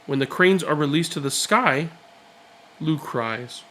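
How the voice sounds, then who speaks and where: A man reading a children's picture book aloud, with expressive, deliberate and dramatic phrasing. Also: a little high, slight background noise. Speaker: read-aloud storyteller.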